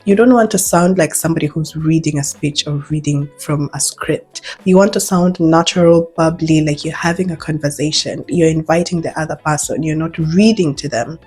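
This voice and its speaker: A Kenyan woman with a low, somewhat husky voice gives practical job tips in a vlog. She speaks conversationally and leans hard on her main points, repeating them for emphasis. Soft music plays faintly behind her. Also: moderate pace, few pauses. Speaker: English teacher giving job advice. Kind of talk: advice vlog, speaking to camera.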